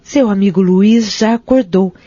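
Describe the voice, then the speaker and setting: A woman playing a calm, devout caretaker at a care home in an audio drama, with a Brazilian accent and a formal, reassuring way of talking. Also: mid-range voice, a little slow, no room sound, natural voice. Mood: kindly. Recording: dull lo-fi audio, music behind.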